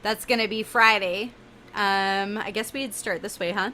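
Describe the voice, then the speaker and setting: A woman's voice: mid-range voice, steady tone, unhurried, sharp tone, slight background noise. A woman co-hosting a podcast in casual, eager chat, often saying "like". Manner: eager, fills talk with "like". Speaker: podcast co-host.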